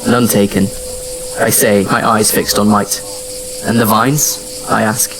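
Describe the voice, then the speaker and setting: A man's voice: fairly low pitch, little pitch movement, takes it slowly. Male audio drama narrator, noisy background. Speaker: narrator and lead actor. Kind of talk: first-person scripted audio drama narration.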